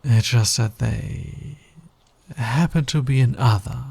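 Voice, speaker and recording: Male actor as cocky fantasy villain, slow and gravelly, smug, teasing, flirtatious, intimate ASMR roleplay. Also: medium pitch, darkish tone, dry recording, heavily acted. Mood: smug, confiding. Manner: smug, playful, drawling, teasing.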